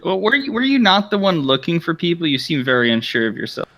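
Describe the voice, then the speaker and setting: A man's voice: a little high, big pitch swings, fast, sharp tone, dry recording, exaggerated voice. A man as a blunt, confident fighter. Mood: blunt, practical. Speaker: player in a tabletop game. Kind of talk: improvised in-character roleplay.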